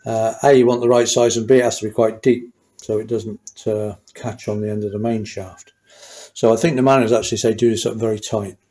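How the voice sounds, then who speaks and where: A man's voice: lowish voice, level tone, brisk, dark tone. A middle-aged or older man, talking casually and wryly as he demonstrates mechanical work in a small workshop. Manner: chatty, self-deprecating, wry asides. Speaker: motorcycle mechanic and host. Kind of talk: casual hands-on workshop tutorial. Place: small indoor workshop at a workbench.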